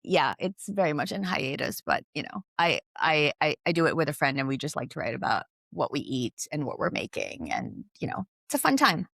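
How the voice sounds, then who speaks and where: A low-voiced woman in her thirties from the Philippines talks casually as an interview guest. Her speech is chatty and loose, full of fillers and easy laughs. The sound is dry and close. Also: wildly swooping pitch, brisk, vocal fry, hardly any pauses, a bit bright, no echo. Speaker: guest, investment director.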